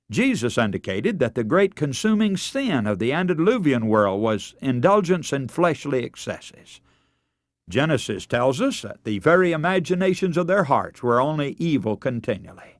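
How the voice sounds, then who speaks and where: A man's voice: medium pitch, no echo, clean studio sound. A man with an American accent delivering a scripted radio sermon in a formal, emphatic, oratorical way. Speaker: radio preacher and broadcast host.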